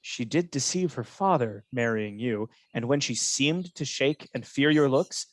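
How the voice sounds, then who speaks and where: An actor playing a scheming false friend who feigns honest concern, speaking measured, insinuating Shakespearean verse in a radio play. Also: male voice, medium pitch, animated, dry recording, close to an everyday voice. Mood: cautioning.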